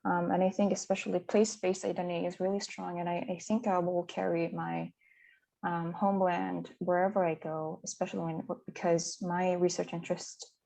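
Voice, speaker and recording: A woman's voice: fairly low pitch, flat delivery, normal speed, gravelly, dark tone. A woman from Mongolia, speaking as a conference panelist in a hesitant, reflective way with many fillers and restarts. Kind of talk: reflective personal talk.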